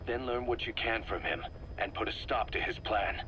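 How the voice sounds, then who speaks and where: An actor playing a stern, gruff military second-in-command, giving a grave and urgent mission briefing to his commander in a video game. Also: on the low side, normal speed, pushed and bright, slight background noise. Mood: grim resolve.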